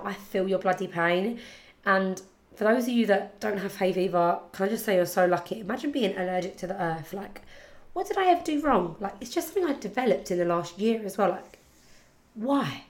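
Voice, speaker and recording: A young woman with a husky, congested voice from hay fever, chatting casually and rambling to her podcast listeners. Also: medium pitch, lively intonation, normal speed. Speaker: podcast host. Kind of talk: casual chat.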